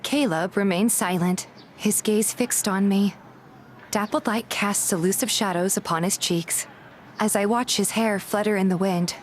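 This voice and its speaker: A woman's voice: mid-range voice, lightly acted. Actress as suspicious, spirited young female lead, first-person narration and dialogue, mid-range voice over music. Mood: curious, suspicious. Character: feisty, suspicious young woman narrating her own story. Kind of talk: scripted first-person narration and game dialogue, fan dub.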